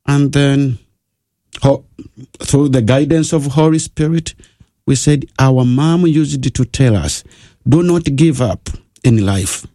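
A priest tells his story slowly and patiently, pausing between phrases. His voice is mellow and at medium pitch, and it sounds dry and close in the studio. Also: no echo. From Uganda. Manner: slow, telling a story with pauses. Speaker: pastor, guest. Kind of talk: radio interview. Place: radio studio.

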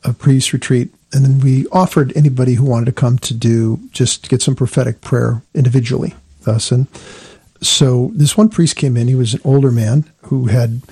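Man with a dark, husky, dry voice. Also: mid-range voice, normal speed, no room sound. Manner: calm, reflective storytelling. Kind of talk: casual conversation.

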